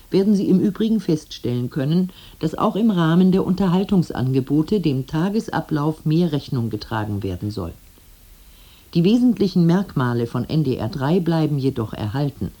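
A male radio announcer reading credits and program notes in a measured, formal broadcast style. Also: a little high, mellow.

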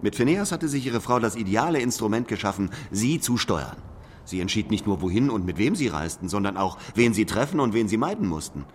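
Smug, low-voiced master detective. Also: male voice, very animated, a little hiss or hum. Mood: coolly accusing. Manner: deliberate, superior, lecturing, needling. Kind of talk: German radio play dialogue.

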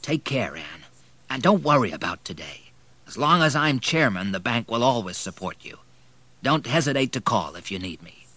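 A kindly male banker and family friend, as a man narrating an audiobook plays him. He sounds soothing and reassuring, with gently varied intonation in a close, dry sound. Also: medium pitch, big pitch swings, normal speed, no echo. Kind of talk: audiobook character voice.

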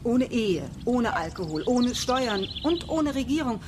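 Earnest, resolute colony leader. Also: female voice, fairly high pitch, very animated, a little slow, background noise. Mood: disappointed. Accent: standard German. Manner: earnest, insistent. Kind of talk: radio play acting.

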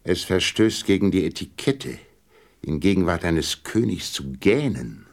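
Regal king, commanding, deep voice, slow pompous speech, radio drama. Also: sing-song, gravelly, exaggerated voice. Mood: imperious. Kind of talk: radio play acting.

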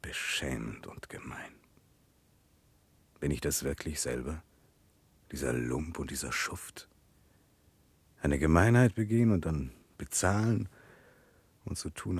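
An actor playing a troubled, guilt-ridden Russian prince in a German radio drama, brooding, earnest and formal. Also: male voice, a bass voice, animated, slow pace, pauses often, breathy edge, dry recording, exaggerated voice. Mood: bitter self-reproach.

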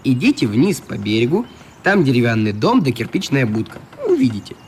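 A man's voice: medium pitch, brisk, close to an everyday voice. A man playing a good-natured, plain-spoken young boatman in a radio play. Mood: friendly, helpful. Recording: light steady background noise.

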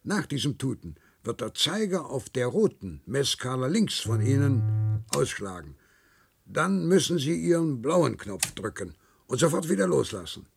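Husky condescending lab instructor in sci-fi radio drama, very animated pitch, fussy and controlling. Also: male voice, full character voice. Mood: instructing, insistent. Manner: didactic, hesitant pauses, condescending. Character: male instructor, patronising, meticulous, controlling test supervisor. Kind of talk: German science-fiction radio play acting.

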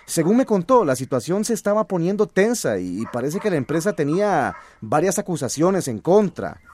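A man acting a high-voiced, animated villager. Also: moderate pace, slight background noise. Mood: serious. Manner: familiar, colloquial. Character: teasing, familiar village man, concerned for his cousin. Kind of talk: radio drama acting.

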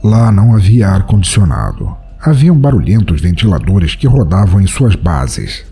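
A man acts out a broke, hard-drinking Brazilian writer narrating an audio drama. His low voice is very mellow and swings widely in pitch as he muses wistfully, a weary romantic telling his own story. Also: very animated, moderate pace, faint music behind. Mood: resigned, reflective. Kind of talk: first-person literary audio drama narration.

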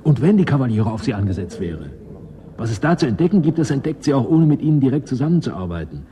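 An actor playing a shrewd, easygoing settler and schemer in a 1980s German radio drama, persuasive and cynical. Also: male voice, mid-range voice, big pitch swings, fast, dark tone, a little hiss or hum, close to an everyday voice. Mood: insistent.

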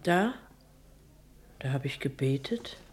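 Langsame, fromme ältere Haushälterin. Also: female voice, rumbling low, lively intonation, takes it slowly, breathy edge, heavily acted. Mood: quiet grief. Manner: plain, hesitant, subdued. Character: older, devout long-serving housemaid, grieving, reserved. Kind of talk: German radio play acting.

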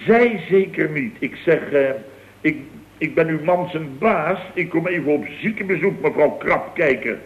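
An actor playing a blustering, easily flustered building-firm boss who rambles emphatically, in late 1960s radio comedy style. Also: male voice, a little high, lively intonation, unhurried. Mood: storytelling. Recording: dull, noisy vintage radio recording.

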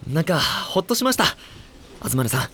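A man playing an earnest young amateur film director in an anime audio drama, speaking briskly. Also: a little high, big pitch swings, a bit bright, slight background noise. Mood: relieved, polite.

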